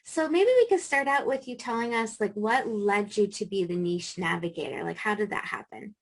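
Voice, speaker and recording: A woman hosts an interview and gently encourages her guest to share. Her voice has a gravelly, creaky edge. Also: medium pitch, moderate pace. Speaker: host, trauma therapist. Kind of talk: interview hosting.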